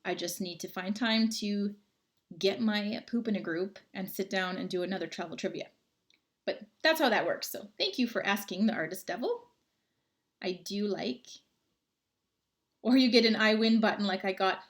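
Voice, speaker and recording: A woman's voice: mid-range voice, normal speed. Chatty, friendly woman in her thirties, livestream host reading out viewer comments, mid-range voice, computer mic at home. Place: home, indoors.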